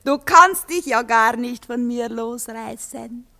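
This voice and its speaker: Eine Schauspielerin spielt in einem deutschen Hörspiel eine anhängliche, eifersüchtige junge Geliebte, theatralisch schmollend. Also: female voice, a little high, bright, forceful tone, full character voice. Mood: teasing, possessive. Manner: theatrical, pouting, drawn-out delivery with breathy whispers. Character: clingy, coquettish, jealous young actress mistress. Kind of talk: German radio play stage-comedy acting.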